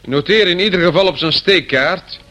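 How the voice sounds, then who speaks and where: An actor playing a pedantic police inspector in a radio play, self-important and ponderous, with hesitant questioning. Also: male voice, high-pitched, normal speed, pushed and bright, soft background music. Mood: pondering.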